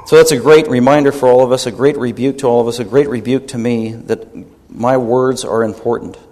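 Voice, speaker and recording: A man's voice: medium pitch, steady tone, brisk, a little mellow, in a large hall. A man preaching a sermon as pastor, emphatic and rebuking, driving points home by repeating them.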